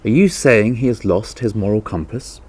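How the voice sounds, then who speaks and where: A man's voice: on the low side, normal speed, a little mellow, pitched above the performer's own voice, natural voice. A man reading the part of a concerned mother in a children's storybook, firm and questioning. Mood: disbelieving. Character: concerned mother defending a family member.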